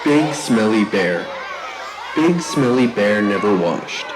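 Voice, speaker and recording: A storyteller narrating a scripted children's tale in a deliberate, theatrical way. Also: medium pitch, expressive, a crowd in the background. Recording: live show with audience noise.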